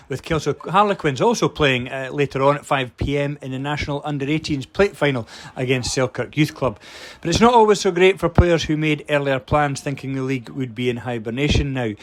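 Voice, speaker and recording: A man delivering a scripted match preview as a radio sports reporter. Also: a little high.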